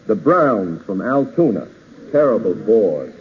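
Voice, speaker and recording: A man's voice: lowish voice, sing-song, a little slow, highs cut off, slight background noise. An actor playing a chatty, gossipy man making polite excuses in 1930s radio comedy, on an echoey old recording. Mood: apologetic, dismissive.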